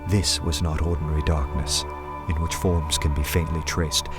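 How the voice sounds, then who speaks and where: A man playing an earnest detective who narrates his undercover adventure in a measured, literary radio play style. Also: extremely low pitch, flat delivery, loud music behind the voice. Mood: brooding, dramatic. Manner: measured, literary, dramatic storytelling. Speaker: narrator and lead character.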